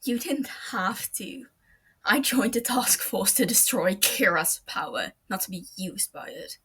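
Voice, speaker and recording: A woman reading a young male detective's lines, strained and pleading, at times sinking to a whisper, in a slow, husky voice. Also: high voice, expressive, pushed and bright, exaggerated voice. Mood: grieving.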